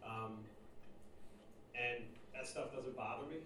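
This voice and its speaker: A man from Northeast Philadelphia giving a halting personal testimony to a church congregation, full of fillers and restarts. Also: medium pitch, steady tone. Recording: echoey room, distant mic, faint noise.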